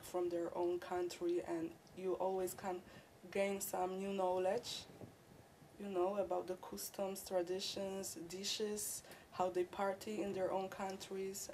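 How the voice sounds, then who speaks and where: A woman's voice: lowish voice, flat delivery, a little slow, darkish tone, a little hiss or hum, poor audio. A young woman from Poland with a Polish accent, answering interview questions as an international student, filling her talk with "like" and "you know.". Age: young adult. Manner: chatty, many "like" and "you know" fillers.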